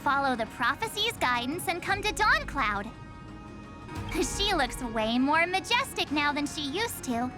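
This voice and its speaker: A woman's voice: high voice, sing-song, measured pace. A woman voicing a playful, theatrical young hero turned goddess in a video game dub. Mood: chatty, bright. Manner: switches between mock-solemn proclaiming and bubbly chatter. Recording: music behind.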